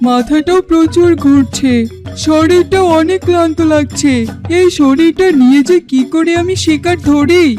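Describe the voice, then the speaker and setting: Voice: extremely high pitch, moderate pace, a little mellow, soft background music. An actor voicing a lazy, henpecked husband fox in a children's cartoon, whiny and dramatic, often muttering to himself. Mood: weary, wistful. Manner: whining, exclaiming, muttering to himself. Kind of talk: children's fairy-tale cartoon voice acting.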